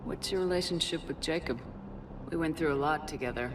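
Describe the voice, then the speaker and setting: Game commander woman actor, deep female register, direct and caring, over background rumble. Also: fairly quick, close to an everyday voice. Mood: calm, sympathetic. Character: resolute military commander heroine, calm and caring. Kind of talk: video game cutscene acting.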